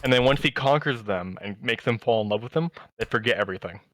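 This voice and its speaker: A man's voice: mid-range voice, quick pace, a bit bright, low-quality recording. A man co-hosting a podcast, chatting casually and telling stories in an enthusiastic, rambling way. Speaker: podcast co-host. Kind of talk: casual chat about anime.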